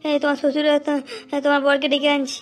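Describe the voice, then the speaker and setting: A woman's voice: high-pitched, completely flat delivery, fast talker, faint music behind, close to an everyday voice. A woman voicing an anxious middle-aged village mother-in-law in a cartoon melodrama, fretful and repeating herself. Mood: alarmed. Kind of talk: amateur cartoon melodrama acting.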